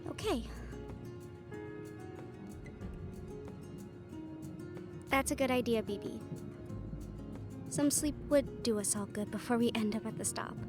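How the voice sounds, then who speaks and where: A woman's voice: fairly high pitch, normal speed, close to an everyday voice. A woman playing a witty, confident young female adventurer in a playful, conversational audio roleplay. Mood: bantering. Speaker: amateur voice actor. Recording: music behind the voice.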